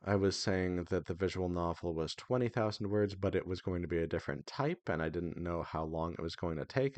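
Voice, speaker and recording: A man with a low-pitched voice talks off the cuff, as in an informal developer diary. His delivery wanders and hesitates as he thinks out loud. The sound is dry, with hardly any room to it. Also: dry recording. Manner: rambling, hesitant, thinking aloud. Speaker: indie visual novel developer. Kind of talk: casual devlog update, unscripted.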